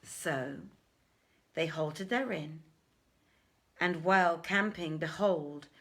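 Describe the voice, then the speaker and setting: A woman storyteller reads classic literature aloud in a measured, formal, dramatic audiobook style. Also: sits low. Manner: measured, archaic formal phrasing.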